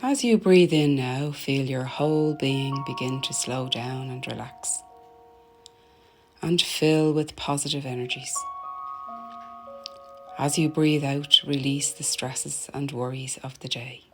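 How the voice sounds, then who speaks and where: A woman narrating a guided meditation in a soothing, gentle, deliberate manner, letting her phrases end softly. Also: extremely low pitch. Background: meditation music.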